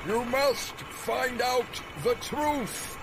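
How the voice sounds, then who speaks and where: A man's voice: very high-pitched, steady tone, noisy background, full character voice. An actor playing a pleading, devout old male prisoner in a video game, speaking in a formal, theatrical way. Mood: grave, urgent. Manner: theatrical, formal, drawn-out delivery. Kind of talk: dramatic video game cutscene acting.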